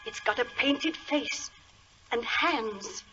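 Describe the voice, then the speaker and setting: An actress playing a frightened, superstitious country housemaid, in excitable 1940s radio style. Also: female voice, fairly high pitch, slow pace. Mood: hushed curiosity. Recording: muffled, noisy old radio recording.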